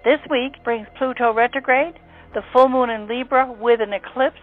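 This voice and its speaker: A woman with a fairly high voice presents a radio segment. She reads from a prepared script as a narrator would. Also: steady tone, normal speed, phone-quality sound.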